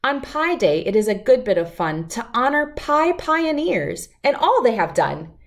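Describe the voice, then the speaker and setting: A middle-aged woman reading a rhyming picture book aloud to children in an expressive, deliberate, lilting way. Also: a little high, vocal fry. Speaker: children's read-aloud host.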